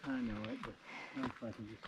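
A man's voice: mid-range voice, big pitch swings, fairly quick, creaky. An older man chatting casually, a bit winded, as he hikes a trail. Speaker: hiker in a couple's travel vlog. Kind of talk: casual chat while hiking. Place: outdoors on a forest and ridge trail. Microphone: handheld camera, a few steps away. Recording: noisy handheld outdoor camera audio.

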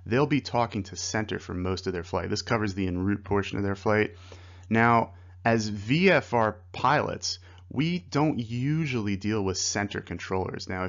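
Low-voiced man explaining like an instructor. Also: a bit bright, dull audio. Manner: conversational, explanatory. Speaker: flight instructor. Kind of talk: explanatory slide-lesson narration.

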